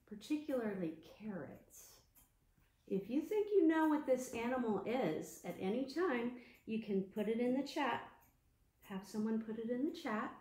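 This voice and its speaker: A woman hosting an interactive storytime as a children's librarian, talking in an animated, sing-song way to small children. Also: fairly high pitch, echoey room.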